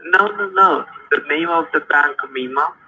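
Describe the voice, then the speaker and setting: A man's voice: fairly high pitch, a little slow, bright, forceful tone, slight background noise. Insistent man on a muffled phone line. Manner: insistent, repetitive, presses for details. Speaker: phone scammer.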